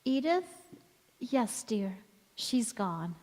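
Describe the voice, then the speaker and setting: Actress as a slow, wistful mystery woman, echoing hall. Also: fairly high pitch, sing-song, dark tone, heavily acted. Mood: tender. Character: mysterious ragged young woman, wistful, knowing, gently evasive. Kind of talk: stage radio drama acting. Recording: echoey hall with long reverb.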